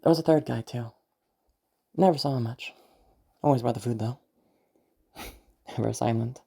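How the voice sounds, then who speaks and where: A woman playing a detached, eerily calm sociopath who recounts the past in a halting, musing monologue with a husky, creaky voice. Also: extremely low pitch, heavily acted. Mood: detached reminiscing. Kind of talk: first-person audio roleplay monologue.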